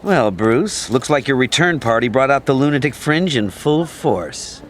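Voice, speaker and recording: A man playing a smug, smooth-talking corporate executive in an audio drama, formal and self-assured. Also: medium pitch, animated, measured pace, slight background noise, close to an everyday voice. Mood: polite, restrained.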